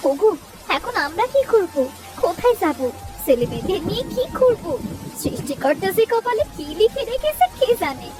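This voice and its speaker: A woman's voice: extremely high pitch, big pitch swings, moderate pace, background noise. A woman voicing a worried, tearful village wife and mother in a melodramatic, fretful cartoon style. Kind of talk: melodramatic cartoon story acting. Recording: music behind the voice.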